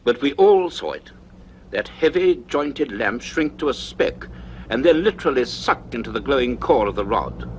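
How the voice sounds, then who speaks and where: An actor playing a grave university professor in a radio drama, speaking in a deliberate, earnest, emphatic way with thoughtful pauses. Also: male voice, medium pitch, lively intonation, a little hiss or hum, natural voice. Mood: grave, awed. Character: grave male university professor recounting a mystery. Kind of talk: radio drama acting, part notes, part dialogue.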